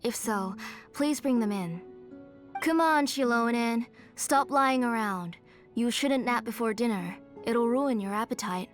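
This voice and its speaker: Woman voicing middle-aged game mother, fairly high voice, tender, fussy, grieving, soft background music. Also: a little slow, lightly acted. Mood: gently scolding. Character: grieving, doting older mother, gentle but fussy. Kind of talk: video game voice acting. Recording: music under the voice.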